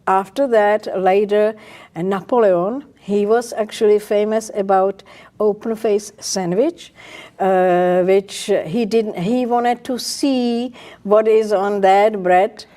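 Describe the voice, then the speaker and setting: An older woman with a Czech accent talks in a slow, mellow voice. She is a guest chef chatting in an interview, halting often to search for the right English words. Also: medium pitch, steady tone. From Czech Republic. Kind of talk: casual interview chat.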